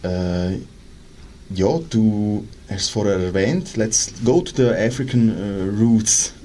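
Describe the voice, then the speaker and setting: A man's voice: lowish voice, slow pace, warm tone, a little hiss or hum. A man hosting a radio interview with a Swiss German accent, talking with many fillers and restarts. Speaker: radio host. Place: radio studio.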